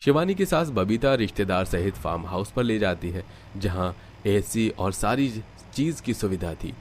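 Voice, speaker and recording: Low-voiced male cartoon story narrator. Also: expressive, normal speed, a little hiss or hum.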